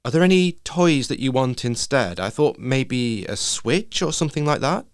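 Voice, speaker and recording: A man voicing a troubled, gentle woman, an anxious mother who speaks softly and hesitantly, in a story reading. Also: medium pitch, very animated, normal speed, smooth, dry recording, clean studio sound, voice pushed up. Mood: gently coaxing.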